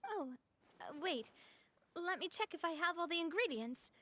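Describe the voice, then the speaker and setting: An actress playing a cheerful young female gang leader in a game, gracious and lively. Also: very high-pitched, moderate pace, exaggerated voice. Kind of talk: game voice acting.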